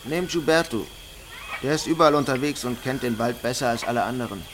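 An actor playing an Amazon villager who recommends a jungle guide in a radio drama. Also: male voice, mid-range voice, fairly quick, background noise, close to an everyday voice. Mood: assuring.

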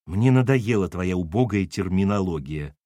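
A man voicing a brisk, scolding wife and mother in an audiobook, with a clipped, commanding manner. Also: medium pitch, very animated, dry recording, clean studio sound. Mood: icy.

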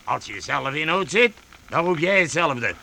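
Casting: rough, jovial medieval vagrant chief, very forceful and gravelly, hearty and bossy, radio drama. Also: male voice, fairly high pitch, animated, a little hiss or hum, full character voice. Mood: hearty, commanding. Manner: hearty, bossy, halting with interjections. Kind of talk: Dutch radio drama acting.

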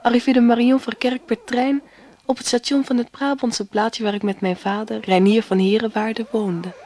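An actress playing a grown daughter narrating childhood memories in a radio play, measured and reflective. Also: female voice, a little high, steady tone, background noise, lightly acted. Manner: measured, storytelling.

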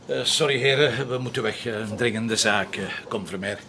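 An actor playing a polite but persistent police inspector in a crime drama, speaking with a Flemish accent. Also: male voice, mid-range voice, moderate pace, gravelly, bright, forceful tone, a little hiss or hum. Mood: hurried, flustered.

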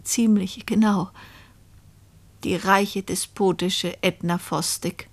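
An actress playing a reflective older woman who narrates a radio crime play in a deliberate, confiding manner. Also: mid-range voice, fairly even intonation. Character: reflective woman narrator recalling an old murder case.

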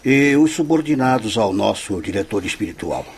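An actor with a Brazilian accent playing a grave, benevolent president of a spirit gathering, formal and ceremonial, in a radio drama. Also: male voice, lowish voice, lively intonation, normal speed, husky, exaggerated voice.

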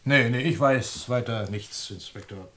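A man's voice: medium pitch, a little slow, a bit bright, lightly acted. A man playing an evasive, shifty police informant in a 1960s German radio play, hedging in an offhand way.

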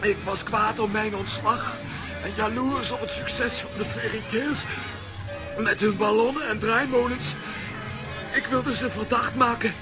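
High-pitched whining villain. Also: male voice, fairly even intonation, unhurried, music in the background. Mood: pleading, confessing. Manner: whining, pleading. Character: cringing, jealous fairground villain pleading for mercy. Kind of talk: children's radio play acting.

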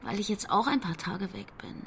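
A woman's voice: medium pitch, a little fast, lightly acted. Actress as caring, earnest woman, noisy background. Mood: caring, hesitant. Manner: caring, slightly hesitant. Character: caring, earnest woman, animal-rights activist companion. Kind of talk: radio drama acting.

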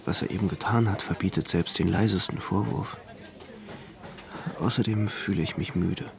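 An actor in a radio drama playing a brooding young gentleman, introspective and restrained, voicing his thoughts. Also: low voice, normal speed, vocal fry, crowd noise. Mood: weary, restrained. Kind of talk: German radio drama acting.

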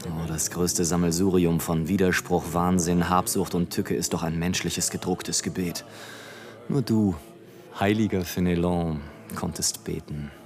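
An actor playing a rapturous, scornful airship balloonist in a theatrical, declamatory radio play monologue, swinging between grand exultation and hush. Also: male voice, deep voice. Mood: bitter, reverent. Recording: studio radio play with music.

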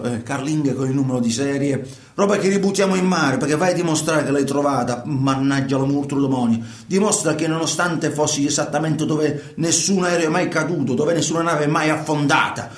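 A man's voice: on the high side, lightly acted. Actor as a fisherman, roomy echo. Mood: matter-of-fact, conversational. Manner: colloquial, halting, repeats words and phrases. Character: troubled working fisherman recounting life at sea. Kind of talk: theatrical radio monologue in conversational storytelling.

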